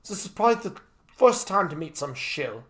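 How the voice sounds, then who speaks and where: A man's voice: on the high side, very animated, normal speed, a bit bright, pitched above the performer's own voice. A man voicing a grieving young upper-class heir in an audio story, sullen, pleading and argumentative. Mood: defiant.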